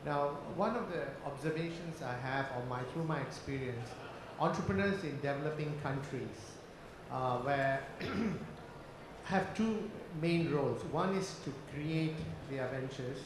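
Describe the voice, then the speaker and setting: A middle-aged man with a Malaysian accent puts a question from the floor. He speaks deliberately in broken phrases, a little high in pitch, in a heavily echoing hall with clear background noise. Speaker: audience questioner, startup organisation head. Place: conference hall. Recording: very echoey, noisy conference hall.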